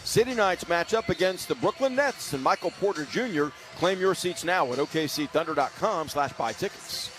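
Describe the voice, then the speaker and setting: High-pitched American man calling basketball. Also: expressive, normal speed, few pauses, over music. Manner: clipped present-tense phrases. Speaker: basketball radio play-by-play announcer. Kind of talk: live sports commentary.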